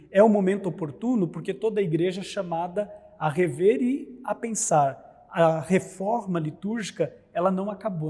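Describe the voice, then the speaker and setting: A Brazilian priest lectures earnestly, posing rhetorical questions and answering them. His voice sits high with a husky, mellow edge, and a long hall reverb trails behind it. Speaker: priest, liturgy scholar. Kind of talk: explanatory religious talk.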